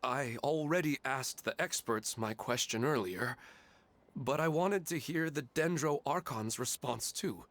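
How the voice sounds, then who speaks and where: A man's voice: medium pitch, a little slow, a bit bright. A man voicing a grieving, hesitant widowed father in a video game, speaking in a sad, subdued way. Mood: sorrowful.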